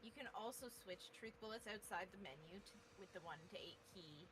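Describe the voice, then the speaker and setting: A woman's voice: mid-range voice, brisk, background noise. A woman on a casual game stream, giving instructive tips to a player. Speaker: co-commentator guiding a player. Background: clear game music.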